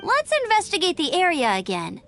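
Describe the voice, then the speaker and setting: A woman's voice: sits high, very animated, brisk, gravelly, a bit bright, faint music behind, heavily acted. A woman playing a spirited, eager young adventurer in an anime video game. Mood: eager, concerned.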